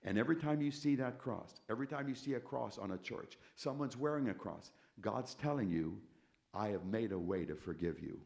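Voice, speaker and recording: Older male preacher, deep and gravelly, emphatic church testimony with big dynamic swings. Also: lively intonation, a little fast. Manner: emphatic preacher, repeats key words, swings from quiet to loud. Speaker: concert pianist giving testimony. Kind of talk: testimony sermon to a congregation. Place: church sanctuary.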